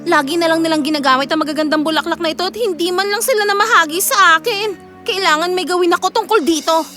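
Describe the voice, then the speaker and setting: A woman's voice: extremely high pitch, fast talker, bright, forceful tone, soft background music. A woman acting the young women and mothers of a children's fairy tale, with theatrical, exclamatory delivery. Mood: jealous, scolding.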